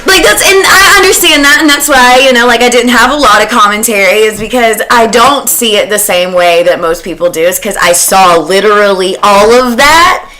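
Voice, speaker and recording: Bright, high-voiced woman chatting bluntly. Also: normal speed, hardly any pauses, low-quality recording. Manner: animated, blunt, acts out sarcastic imitations. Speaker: horror podcast panelist. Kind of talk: casual movie chat. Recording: noticeable background noise.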